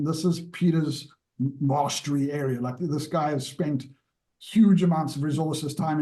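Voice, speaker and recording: A man's voice: a little high, mellow. Enthusiastic, rambling male host. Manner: enthusiastic, rambling, many fillers and restarts. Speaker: interview host. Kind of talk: enthusiastic interview promotion.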